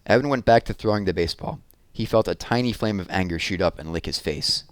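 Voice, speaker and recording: A man narrating a children's chapter book aloud as an expressive storyteller. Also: deep voice, fast talker, no echo.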